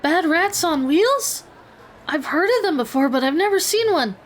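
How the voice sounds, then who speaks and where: A woman voicing a small, plucky young gnome adventurer in a fantasy audio story, curious and expressive. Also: very high-pitched, moderate pace, slight background noise. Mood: curious, friendly.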